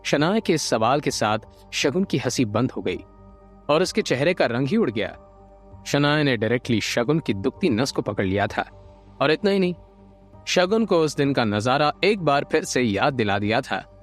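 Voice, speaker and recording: Expressive male drama narrator, lively pitch. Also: moderate pace. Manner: expressive, suspense-building. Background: light background music.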